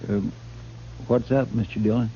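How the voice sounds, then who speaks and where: An actor playing a loyal, deferential marshal's assistant in an old radio western, with a folksy, respectful drawl. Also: male voice, medium pitch, steady tone, normal speed, dark tone, close to an everyday voice. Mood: earnest, deferential. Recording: muffled, noisy old radio recording.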